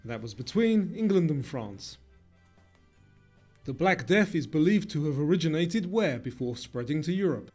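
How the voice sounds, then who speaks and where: A man with a somewhat high voice narrates a quiz from a script. He reads each question and option clearly over background music. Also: expressive, normal speed, darkish tone.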